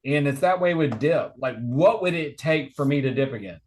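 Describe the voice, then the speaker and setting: A man's voice: a little high, expressive, normal speed, slight background noise. A man in his forties, a podcast guest on a video call, telling stories in an earnest, rambling way. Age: 40s. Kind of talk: casual conversation on a video call. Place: home, remote video call. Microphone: video call microphone.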